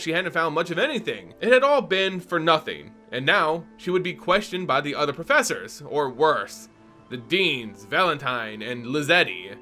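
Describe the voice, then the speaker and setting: Bright, animated male story narrator. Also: on the high side. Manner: expressive, playful teacher asides. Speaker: lore channel host and narrator. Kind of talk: dramatic story reading and commentary.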